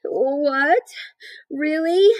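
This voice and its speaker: A woman's voice: high-pitched, extremely slow, pitched above the performer's own voice. A woman voicing a frightened, naive young male pig in a storybook, wailing and pleading hysterically. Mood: desperate hope.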